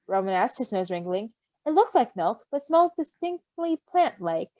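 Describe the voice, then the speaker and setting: A woman's voice: on the high side, moderate pace, dry recording. A woman reading fan fiction aloud expressively, with gushing, casual asides. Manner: expressive, gushing, giggly reactions. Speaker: story reader. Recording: dull, lo-fi close recording.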